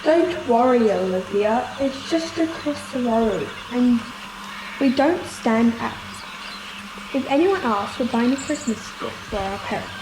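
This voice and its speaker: A woman's voice: fairly high pitch, expressive, unhurried. A girl of ten or eleven, playing a polite schoolgirl in a radio play. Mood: reassuring. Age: child, 10 to 11. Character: polite, reassuring schoolgirl on an errand. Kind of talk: children's radio play acting. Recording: echoey, noisy home video-call recording.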